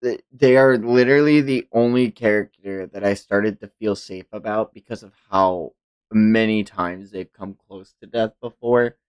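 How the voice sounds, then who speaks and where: A man's voice: fairly low pitch, moderate pace, clear, resonant tone, rough recording. A man streaming a comic read-along, narrating dramatically and reacting in casual, profane language.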